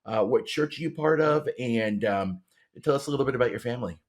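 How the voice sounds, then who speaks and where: A man with a medium-pitched voice speaks as a podcast host. His delivery is conversational and a little rambling, with hesitant restarts and repeated words as he interviews. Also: fairly quick.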